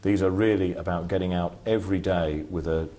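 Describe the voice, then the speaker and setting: A man in his forties speaking in a steady, businesslike way in a corporate interview. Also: low voice, fairly quick. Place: indoor office with display boards.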